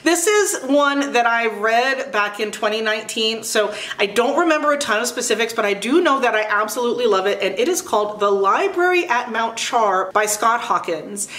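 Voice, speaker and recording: A woman's voice: on the high side, animated, normal speed, hardly any pauses, fairly bright tone, reverberant. A middle-aged woman giving casual book recommendations in a chatty, enthusiastic, emphatic way, as a video host.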